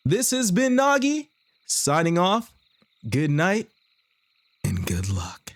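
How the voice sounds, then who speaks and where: A man hosting an audio roleplay channel speaks to listeners in an upbeat sign-off. His pitch rides high and moves around a lot. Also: wildly swooping pitch, slow pace, dry recording.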